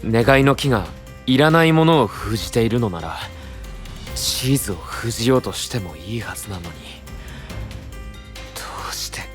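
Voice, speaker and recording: A man's voice: mid-range voice, moderate pace, pauses often, soft background music, lightly acted. An actor playing a serious, burdened young male knight, grave and restrained, in a fantasy voice drama. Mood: troubled, pondering.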